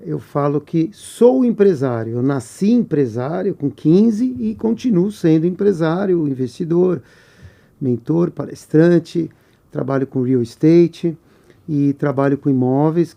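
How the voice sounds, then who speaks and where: A man in his sixties, a businessman and mentor, talking as a podcast guest in a friendly, energetic way, listing things off. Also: a little high, a little slow, vocal fry. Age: 60s. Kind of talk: podcast interview.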